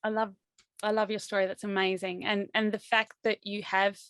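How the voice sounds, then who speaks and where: Woman host, enthusiastic and affirming, mid pitch, dry recording. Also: little pitch movement, moderate pace, fairly bright tone, no room sound. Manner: affirming, enthusiastic, laughs often. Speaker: interview host. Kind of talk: conversational interview.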